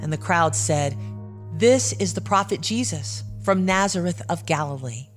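A woman narrating a scripted audio drama in a measured, evocative storytelling way. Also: lowish voice, lively intonation. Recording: clear music bed underneath.

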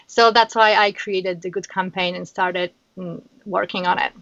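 A woman's voice: on the low side, fairly even intonation, moderate pace, very noisy. A middle-aged woman from Poland with a Polish accent, talking earnestly in an interview, with many fillers and restarts. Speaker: guest, founder. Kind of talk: casual interview chat. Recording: dull, dry sound.

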